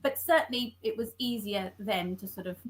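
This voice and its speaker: Halting woman scriptwriter on a call. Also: mid-range voice, crowd noise. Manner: halting, self-correcting, many restarts. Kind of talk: conversational interview. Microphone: video call.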